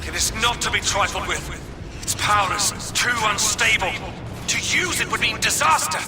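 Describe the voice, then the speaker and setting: An actor playing an earnest, principled robot flyer in a video game, speaking with grave, urgent warning. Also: male voice, sits high, animated, normal speed. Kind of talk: video game cutscene acting. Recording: loud music behind the voice.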